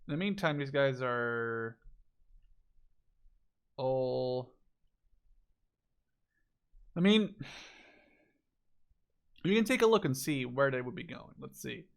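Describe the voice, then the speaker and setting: Male game commentator, mid-pitched voice, casual and unscripted, rambling as he thinks aloud. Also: expressive, takes it slowly, creaky, pauses often. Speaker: video game spectator commentator.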